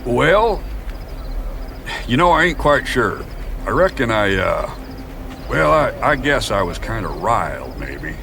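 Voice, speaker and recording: A man's voice: medium pitch, big pitch swings, slow pace, creaky, long pauses, pushed and bright, slight background noise, exaggerated voice. A man playing a folksy, eager farmer in a radio drama, rambling and hesitant in a rural American drawl.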